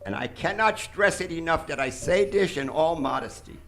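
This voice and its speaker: A vain, grandiose mad-scientist surgeon, played by a man, with a high, bright, forceful voice. He boasts theatrically in radio comedy style, recorded live on a theater stage with clear background noise. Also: expressive, moderate pace. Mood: boastful, grandiose. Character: vain, megalomaniac mad brain surgeon, absurdly boastful villain. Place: theater stage before an audience.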